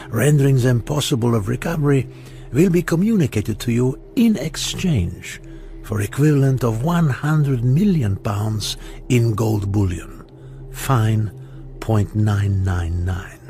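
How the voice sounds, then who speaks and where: A cold male extortionist in a radio thriller. His mid-pitched voice is gravelly with creak, and he reads formally and with measured menace over faint music. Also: warm tone. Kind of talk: radio drama, formal letter reading.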